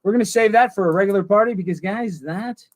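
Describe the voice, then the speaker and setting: A man's voice: high voice, fast talker, dry recording. A man hosting a livestream, chatting with his viewers in a goofy, rambling way. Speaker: livestream host. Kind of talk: casual livestream chat.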